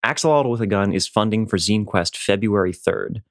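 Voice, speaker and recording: Lower-pitched man, playful ad narration. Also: moderate pace, no room sound, clean studio sound. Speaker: ad announcer. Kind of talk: scripted promotional narration.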